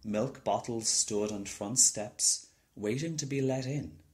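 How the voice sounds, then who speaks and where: A man's voice: mid-range voice, slow pace, a little mellow. A man narrating a children's storybook as a scripted reading. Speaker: storybook reader.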